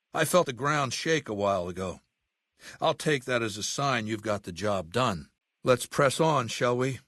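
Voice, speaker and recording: An imperious middle-aged warlord for a video game, with a mid-pitched voice recorded close and dry. He talks down to his listener deliberately, every phrase carrying command and menace. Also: a bit bright, no echo. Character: imperious middle-aged male dictator of a slaver legion. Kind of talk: video game character dialogue.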